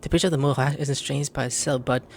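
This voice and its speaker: A man's voice: mid-range voice, steady tone, fast, darkish tone. Male gaming host: casual running commentary, faint background noise. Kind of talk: casual gameplay commentary, reading lines along.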